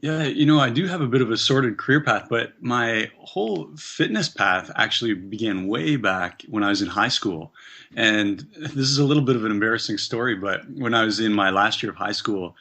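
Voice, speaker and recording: A man's voice: lowish voice, fairly quick, pushed and bright. A Canadian man talking as a guest on an interview podcast, upbeat and chatty, laughing easily. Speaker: podcast guest, personal trainer. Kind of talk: casual interview conversation.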